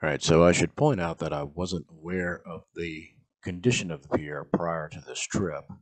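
Brief: man, very low voice, casual explanatory voice-over for travel footage. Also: fairly even intonation, moderate pace. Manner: plain, explanatory, with frequent "uh"s. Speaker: travel vlogger. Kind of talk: voice-over narration of drone footage.

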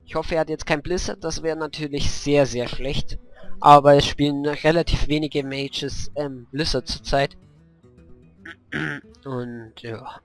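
A man with a cold and a hoarse voice, casually commentating a card game and thinking aloud about his moves, with many fillers. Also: on the high side, steady tone, a little slow. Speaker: gameplay commentator. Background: faint background music.

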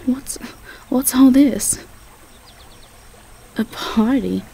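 A woman playing a tender, easily overwhelmed Southern belle girlfriend in a roleplay audio drama, with a Southern US accent and a halting, breathy delivery. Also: sits high, full character voice. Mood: hushed surprise. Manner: soft, halting, repeats words.

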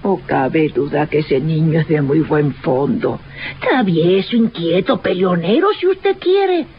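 A woman's voice: deep voice, expressive, a little slow, slightly rough, full character voice. An actress playing a chatty, sympathetic village neighbour woman who fusses, in an old radio drama. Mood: concerned. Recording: dull noisy old radio recording.

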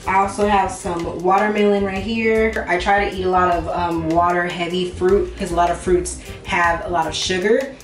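A woman's voice: on the low side, fairly even intonation, normal speed, few pauses. A woman in her twenties chatting informally to viewers in slangy, playfully emphatic speech, recorded on a camera a few feet away in a home kitchen. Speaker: vlogger. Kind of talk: casual chat to viewers, grocery haul. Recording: echoey room, faint music behind.

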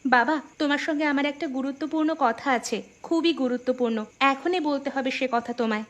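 A woman's voice: high-pitched, fast talker, lightly acted. A woman voicing an eager, scheming, educated young village daughter in a Bengali cartoon, speaking emphatically. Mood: urgent, scheming.

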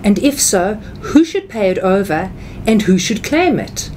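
A middle-aged South African woman explaining something step by step in a measured, instructional way, as a seminar speaker. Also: mid-range voice, big pitch swings, darkish tone, slight background noise, low-quality recording. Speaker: tax seminar speaker and writer. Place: small indoor office or meeting room.

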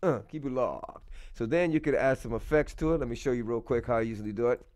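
Man giving a casual software walkthrough. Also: mid-range voice, fast. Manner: informal, talking himself through each step. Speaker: audio production tutorial host, DJ drop producer. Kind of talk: casual screen-recorded software tutorial.

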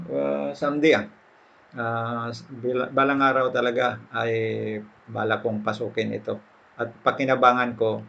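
Older filipino guy vlogging casually, kinda gravelly, stop and start, dull muffled audio. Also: medium pitch. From Philippines. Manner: halting, with English words mixed in. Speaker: fish farmer and vlogger. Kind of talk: casual vlog commentary.

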